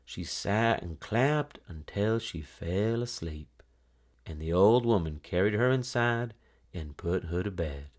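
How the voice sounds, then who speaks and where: Low-voiced, animated male storyteller. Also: takes it slowly. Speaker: storybook narrator. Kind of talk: expressive children's read-aloud narration.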